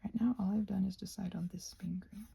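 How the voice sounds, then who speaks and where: Husky, breathy, creaky-voiced woman rambling softly. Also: mid-range voice, steady tone, brisk. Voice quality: breathy, often slipping into whisper. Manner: scattered, thinking aloud to herself. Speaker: craft video host. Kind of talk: rambling ASMR craft chat while painting.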